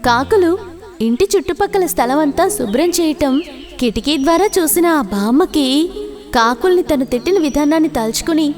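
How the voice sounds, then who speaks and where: Female children's story narrator, high-pitched, with clear background music. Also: expressive, fairly quick, darkish tone.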